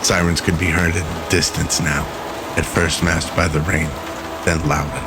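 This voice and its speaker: A man narrating a scripted radio drama in a measured, solemn storytelling manner. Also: a bass voice, little pitch movement, loud music behind the voice.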